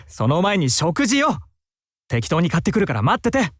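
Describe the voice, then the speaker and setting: A man's voice: sits high, very animated, fast talker, fairly bright tone, no echo, clean studio sound, full character voice. An actor playing a fussy, motherly, effeminate male friend in a Japanese visual novel. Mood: exasperated. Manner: effeminate, scolding. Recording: game audio with faint music.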